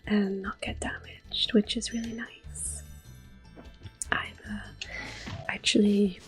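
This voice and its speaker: Softly whispering woman, unhurried. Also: mid-range voice, little pitch movement. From Europe. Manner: gentle, unhurried, mostly whispering. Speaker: host of a gameplay series. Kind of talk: soft-spoken, whispered ASMR game commentary. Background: quiet game music and controller clicks.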